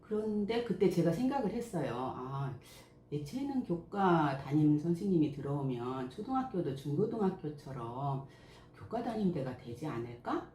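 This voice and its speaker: A woman in her sixties, a school principal and picture book author, answering interview questions in a slow, modest, measured way. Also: sits low, sing-song, dark tone. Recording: echoey room, faint background noise.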